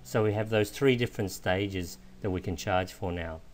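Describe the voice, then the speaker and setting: A man with a fairly low voice narrates a software tutorial. He explains each step informally, in the manner of an instructor walking a learner through a screencast. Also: normal speed, slight background noise.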